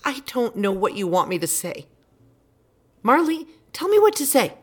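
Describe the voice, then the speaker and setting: A woman's voice: fairly high pitch, very animated, normal speed. An actress playing a harried, evasive, practical mother in an audio drama, with a clipped, dismissive way of talking. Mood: defensive. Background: music under the voice.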